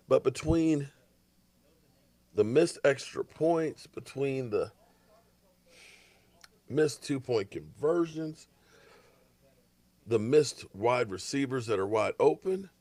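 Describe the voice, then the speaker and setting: Hoarse, ranting male sports commentator. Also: mid-range voice, dry recording. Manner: emphatic, frustrated ranting. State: hoarse, coughing. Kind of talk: opinionated sports talk.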